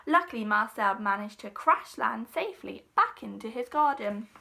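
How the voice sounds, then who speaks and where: Bright-voiced woman reading a children's story. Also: fairly high pitch, animated, moderate pace, creaky.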